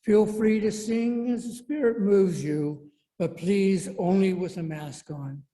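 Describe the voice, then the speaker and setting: A man acting as service leader, making church announcements in a polite, measured way. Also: high-pitched, dark tone.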